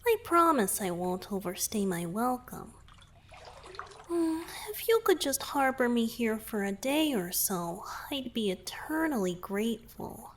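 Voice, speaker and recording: A woman voicing a gentle young merman boyfriend in a romantic ASMR roleplay, soft-spoken and hesitant, often slipping into breathy whispers. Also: fairly high pitch, big pitch swings, a little slow, slight background noise. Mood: grateful, polite.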